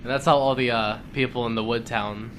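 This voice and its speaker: A man's voice: mid-range voice, steady tone, brisk, a bit bright, a little hiss or hum. A man co-commentating on a video game in a casual chat, tossing in wry, dry asides. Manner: wry, dry quips and pop culture asides. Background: video game music.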